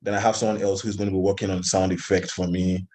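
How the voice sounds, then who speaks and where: A man's voice: low voice, little pitch movement, fast, darkish tone. A man from Nigeria giving conversational interview answers as an animation studio founder, in hesitant phrasing with repeated restarts.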